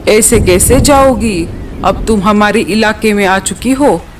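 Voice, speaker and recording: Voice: medium pitch, unhurried, background noise, lightly acted. An actor voicing a greedy, menacing male eagle in a cartoon, taunting and threatening. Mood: gloating, menacing. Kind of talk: Hindi cartoon dubbing.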